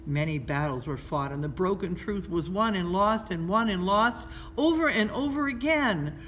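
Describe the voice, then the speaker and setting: A woman's voice: mid-range voice, lively intonation, moderate pace, a bit bright. Woman reading a children's story, noisy recording. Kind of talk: read-aloud children's storytelling. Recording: noticeable background noise.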